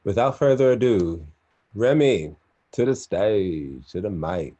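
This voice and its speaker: Deep, dark-voiced male host. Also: slow pace. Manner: relaxed, chatty, with frequent fillers. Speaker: event host.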